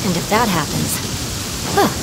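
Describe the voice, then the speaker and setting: A woman's voice: lowish voice, expressive, normal speed, gravelly, very noisy. A woman voicing a fierce, proud young warrior in a video game, with a dramatic delivery. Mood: teasing. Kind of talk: video game character acting. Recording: loud game music over voice.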